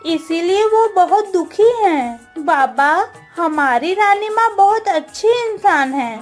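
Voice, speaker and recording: A very high, childlike village girl, acted by a woman, speaks slowly and earnestly in a cartoon story. She is stubborn but tender-hearted. Soft music plays under her voice. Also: lively intonation, exaggerated voice. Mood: earnest pleading. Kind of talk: Hindi cartoon story dialogue.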